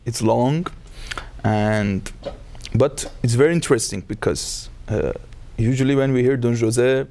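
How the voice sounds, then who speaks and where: A man's voice: medium pitch, measured pace, mellow, slight background noise. A man born in Iran whose first language is Armenian, an opera tenor answering interview questions hesitantly, searching for words.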